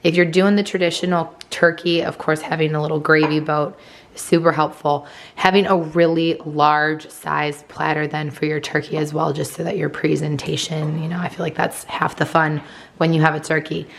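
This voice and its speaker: Low hoarse woman narrating tips. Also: little pitch movement, normal speed, a little hiss or hum. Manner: friendly instructional. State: losing her voice, hoarse. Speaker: homemaking vlogger.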